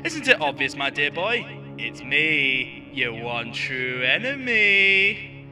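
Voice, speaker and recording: Bright, sharp, gloating villain. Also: male voice, fairly high pitch, big pitch swings, faint music behind, full character voice. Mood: gloating, taunting. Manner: mocking, drawn-out, gloating delivery. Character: taunting theatrical serial killer villain, man. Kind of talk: amateur radio play acting.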